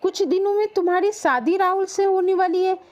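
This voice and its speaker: A stern cartoon mother, acted by a woman. Her voice is high. She is exasperated and strict with her grown daughter. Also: a little fast, darkish tone, dull audio. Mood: scolding. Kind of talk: Hindi cartoon story acting.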